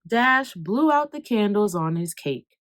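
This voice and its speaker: A woman reading a children's picture book aloud, expressive, slowing down for calm moments and speeding up for excitement. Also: mid-range voice, dry recording. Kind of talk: read-aloud storytelling.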